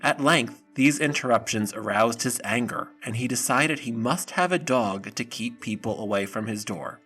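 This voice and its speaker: A man's voice: medium pitch, moderate pace, sharp tone. Male storybook narrator over faint music.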